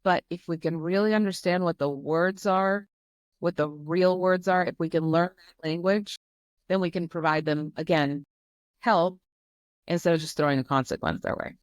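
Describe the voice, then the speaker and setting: Woman, 40s, from Croatia, podcast guest, earnest, emphatic, lowish voice, dry close sound. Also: steady tone, moderate pace, dry recording. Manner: earnest, emphatic, leans on repetition. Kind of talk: candid personal storytelling.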